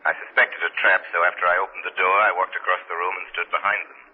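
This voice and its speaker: A man playing a mysterious, calm and commanding invisible vigilante in a 1930s radio drama. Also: sits low, rapid-fire, husky. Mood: calm, reassuring. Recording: muffled radio broadcast, long hall reverb.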